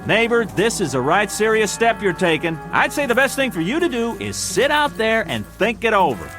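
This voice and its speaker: An actor playing a folksy, coaxing gatekeeper of the afterlife in a vintage TV drama, with a rural Southern American accent. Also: male voice, high-pitched, very animated, a bit bright, soft background music. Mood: insistent. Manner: folksy, coaxing, drawn-out phrasing. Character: folksy, wheedling male gatekeeper of a false heaven. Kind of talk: vintage television drama acting.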